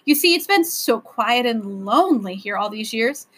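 A woman voicing a lonely, excitable, imperious male magical creature king from a storybook, grand and insistent in manner. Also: fairly high pitch, moderate pace, husky. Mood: wistful, hopeful. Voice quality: lisping.

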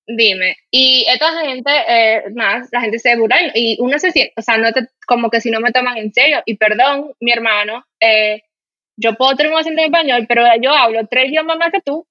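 A woman's voice: a little high, moderate pace, dry recording, highs cut off. A woman from the Dominican Republic with a mild Dominican Spanish accent, hosting a casual podcast and telling indignant stories full of fillers. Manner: animated, indignant, storytelling with many fillers. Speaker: podcast host.

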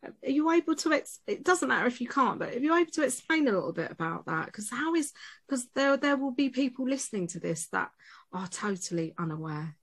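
Gentle, halting woman podcast host. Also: fairly high pitch, sing-song. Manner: gentle, halting, frequent fillers. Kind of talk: podcast group discussion.

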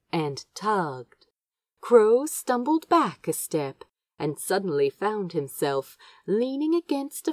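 A woman's voice: medium pitch, darkish tone, no echo. A woman reading fiction aloud as an amateur audiobook narrator, with tender, dramatic storytelling. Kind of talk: expressive fan fiction narration.

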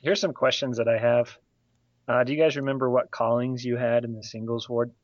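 A man's voice: medium pitch, flat delivery, a little fast, dry recording. Guy telling old college stories, chuckles as he goes, dead dry kinda muffled audio. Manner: chatty storyteller, laughs mid-sentence. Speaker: podcast co-host asking questions. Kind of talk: casual chat.

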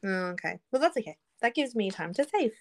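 A woman's voice: a little high, sing-song, fast talker, no echo, studio quality. A woman in her late twenties co-hosting a casual podcast, giggly with eager reactions. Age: 20s. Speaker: podcast co-host. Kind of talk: casual chat.

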